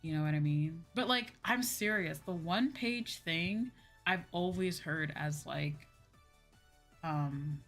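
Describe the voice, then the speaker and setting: Female streamer, lowish voice, informal rambling chat over clear background music. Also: slow pace, a bit bright. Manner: informal, conversational, frequent "like" and "you know". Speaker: VTuber livestream host. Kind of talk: casual livestream chat with viewers.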